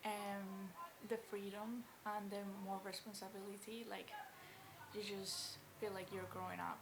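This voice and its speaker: A woman's voice: medium pitch, level tone, unhurried. An eighteen-year-old woman answering interview questions in a plain, slightly tentative way. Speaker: school leaver interviewee. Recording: noisy background ambience.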